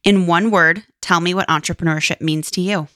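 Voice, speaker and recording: A woman's voice: fairly low pitch, steady tone, moderate pace, fairly bright tone, no room sound, clean studio sound. A woman hosting an interview podcast, friendly and upbeat. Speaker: host.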